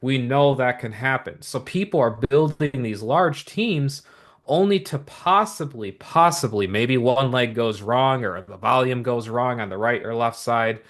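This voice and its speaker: Energetic man giving a motivational sales pitch. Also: measured pace. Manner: energetic, repetitive motivational patter with many asides. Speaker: business opportunity presenter. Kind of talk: upbeat sales pitch to viewers.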